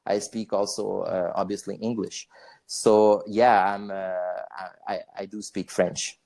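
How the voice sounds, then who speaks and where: Bosnian-born guy, lowish voice, rambly podcast guest, swears a lot, tons of uhs. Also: flat delivery, measured pace, gravelly, a little mellow. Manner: rambling, swearing, many fillers and restarts. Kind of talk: casual interview chat.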